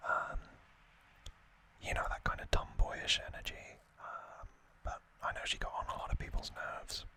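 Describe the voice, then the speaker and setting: A man hosting an unscripted ASMR unboxing in a breathy whisper, speaking in short, halting phrases with pauses. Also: bright, forceful tone. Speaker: ASMR video host.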